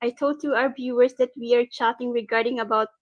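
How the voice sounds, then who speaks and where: A woman's voice: a little high, steady tone, fairly quick. Woman host chatting, lots of uh and um, muffled lo-fi sound, no room echo. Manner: non-native phrasing, hesitant, many fillers. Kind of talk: casual interview chat.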